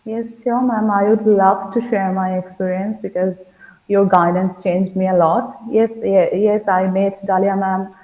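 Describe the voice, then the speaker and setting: A woman's voice: medium pitch, steady tone, unhurried. A woman with an Indian English accent, a pronunciation student, speaking hesitantly with many fillers over a video call. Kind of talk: video-call testimonial interview. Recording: tinny phone-like line, echoey room.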